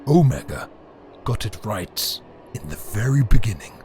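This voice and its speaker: A man playing a bitter, power-hungry immortal alien emperor in a dramatic audio drama monologue, brooding and grandiose, with deliberate theatrical pauses. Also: on the low side, big pitch swings, a little mellow, a little hiss or hum. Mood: bitter, scornful. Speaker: reader of an audio story.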